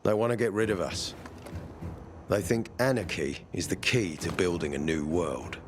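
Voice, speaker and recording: An actor playing a stern, commanding military peacekeeper officer in a video game, authoritative and sardonic. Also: male voice, deep voice, moderate pace, noisy background, natural voice. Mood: grave, urgent. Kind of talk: video game cutscene acting. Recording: game music behind the voice.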